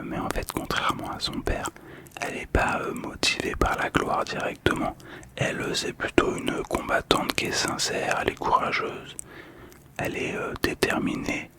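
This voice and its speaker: A man speaking in a soft, breathy whisper, calmly and hesitantly telling a story in ASMR style. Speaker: host of a relaxation video.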